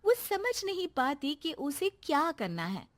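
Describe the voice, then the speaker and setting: Woman narrating an animated cartoon story. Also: high voice, a little slow.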